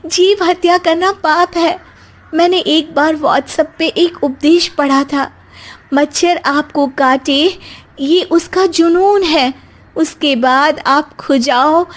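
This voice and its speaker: A woman voicing a pious, naive young daughter-in-law in a cartoon, gently stubborn and earnestly preachy. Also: very high voice, slow. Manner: preachy, earnest, sing-song moralizing. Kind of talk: cartoon moral comedy acting.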